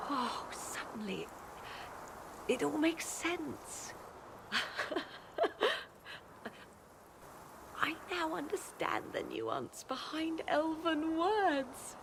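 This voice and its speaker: A proud, learned sorceress in a video game drama. Her fairly high voice swings widely in pitch as she slowly savours every word, theatrical and rapt. Faint noise sits behind her. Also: wildly swooping pitch, exaggerated voice. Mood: awed, exhilarated. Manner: theatrical, savouring words, breathy exclamations. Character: enraptured scholarly sorceress, proud and elegant woman.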